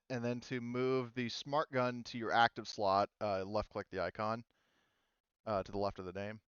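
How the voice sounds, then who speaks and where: A man acting as game master in a casual online tabletop session, explaining rules haltingly as he goes. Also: lowish voice, level tone, a bit bright, clear, resonant tone, no echo, dull audio.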